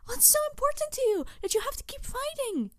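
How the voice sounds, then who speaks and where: A woman playing a snarky, bitter supervillain in an ASMR audio roleplay, taunting her captive in a theatrical, deliberate way with breathy whispered touches. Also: very high voice, very animated, dry recording. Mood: exasperated, agitated.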